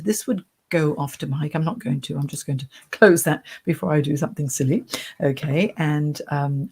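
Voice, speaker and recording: A woman career coach with a soft voice, chatting her way through a screen-share tutorial on a computer mic. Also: lowish voice, slightly rough. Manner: chatty, reassuring, talks through clicks.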